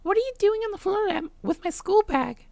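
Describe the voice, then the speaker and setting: A woman's voice: very high voice, lively intonation, a little fast, creaky, darkish tone, no room sound, voice pushed up, exaggerated voice. A woman voicing a sweet, clingy, anxious yandere schoolgirl in a visual novel, with a cutesy, pleading manner. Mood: questioning.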